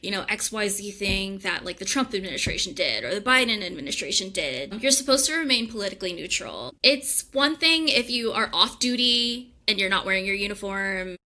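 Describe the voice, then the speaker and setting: A woman's voice: mid-range voice, a little fast, hardly any pauses. A young woman, a former park ranger, chatting casually as a guest and leaning on "like" and "you know". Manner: relaxed, frequent "like" and "you know" fillers. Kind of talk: casual conversation.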